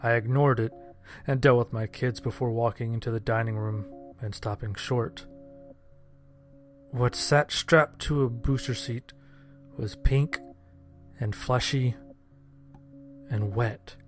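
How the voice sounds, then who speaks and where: A man narrating a scripted first-person horror-comedy story in a deadpan, sardonic manner. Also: mid-range voice, slow pace, pauses often, soft background music.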